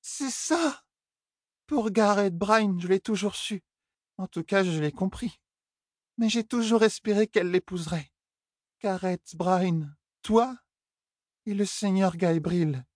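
A man's voice: slow, pauses often, dry recording, studio quality. A man voicing a tipsy young royal heiress who slurs, sulks and flares up. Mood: indignant. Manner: high, slurred pleading and indignant outbursts. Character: drunk young royal heiress, petulant and tearful.

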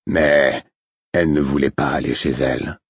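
A man's voice: rumbling low, fairly even intonation, moderate pace, creaky, slightly rough, no echo, highs cut off, exaggerated voice. A man voicing a guarded, plain-spoken male healer in an audiobook, reticent and uneasy. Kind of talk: audiobook character acting.

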